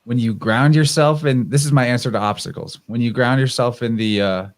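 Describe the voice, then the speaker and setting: A man's voice: mid-range voice, fairly quick. Male livestream host, mid register, loose conversational delivery. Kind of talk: casual conversational hosting.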